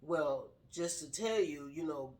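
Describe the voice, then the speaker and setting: A woman's voice: sits low, expressive, unhurried, darkish tone. A middle-aged woman sharing her personal story casually in an earnest, straightforward way. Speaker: guest telling personal story, wife of the host.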